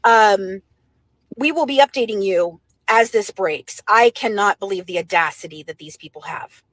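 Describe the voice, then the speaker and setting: A woman's voice: medium pitch, normal speed, a bit bright, dry recording, poor audio. A woman hosting an online update for her followers, speaking in an emphatic, dramatic way. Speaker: online show host. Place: outdoors by a courthouse.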